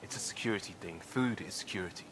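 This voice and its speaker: A man's voice: low-pitched, fast talker, fairly bright tone, slight background noise. An actor playing an earnest young crewman in a video game, talking informally and candidly. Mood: earnest, apologetic. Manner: informal, candid, a little sheepish. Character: earnest young male crisis-response crewman.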